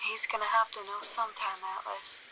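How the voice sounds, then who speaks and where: A woman's voice: medium pitch, level tone, fairly quick, background noise, natural voice. A woman playing a worldly, cynical confidante in a fantasy audio drama, talking in a dry, candid way. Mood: knowing, brisk.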